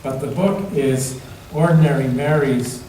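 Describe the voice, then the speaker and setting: A man's voice: mid-range voice, measured pace, dark tone. A man reading a picture book aloud to young children at church, repeating phrases and putting simple questions to them. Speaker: children's story reader at a church service. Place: large echoey room, church. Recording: echoey room, distant mic, faint noise.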